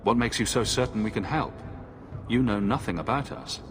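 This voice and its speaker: A fairly low, measured voice with clear music underneath. It belongs to a confident adventurer hero in a fantasy game , played by a man, diplomatic and dryly probing. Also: lightly acted. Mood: composed, dry. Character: composed, confident human adventurer, the heroic lead. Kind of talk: video game dialogue.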